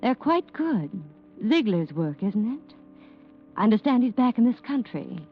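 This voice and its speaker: Through a muffled old radio broadcast with clear music behind comes a wealthy, scheming widow. She speaks with lively, swooping intonation and polished, coy sweetness, in the style of 1940s mystery drama. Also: on the high side, measured pace. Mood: coy, calculating. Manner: coy, polished, mock-innocent. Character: Janet, coolly scheming wealthy widow, feigning sweet helplessness.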